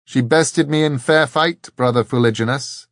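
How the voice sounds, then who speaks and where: A beaten but dignified monk in an audiobook fantasy, acted by a male narrator. He announces in a formal, ceremonious way, pitched above the reader's narration, with a dry sound. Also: moderate pace, no echo, lightly acted. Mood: conceding.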